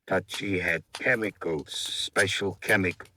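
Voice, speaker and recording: Weak, low-voiced wounded crook. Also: male voice, a little slow, bright, forceful tone, full character voice. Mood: weak, earnest. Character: gravely wounded small-time crook, weak, loyal, wary. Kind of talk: 1950s radio drama acting. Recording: noisy old radio recording with clicks.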